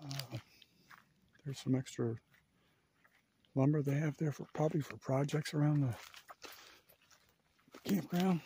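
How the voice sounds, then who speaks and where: A man's voice: fairly high pitch, a little slow, pauses often, dark tone, a little hiss or hum. A man narrating a casual walking tour of a campground, thinking aloud with lots of fillers, recorded outdoors on a handheld camera. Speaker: vlogger giving a walking tour. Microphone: handheld camera while walking.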